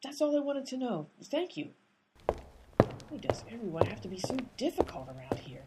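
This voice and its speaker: An actress in a radio comedy playing an exasperated female amateur sleuth who talks in a brisk, bossy way. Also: fairly high pitch, big pitch swings, heavy background noise. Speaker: lead character, amateur sleuth. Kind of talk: comic radio play acting.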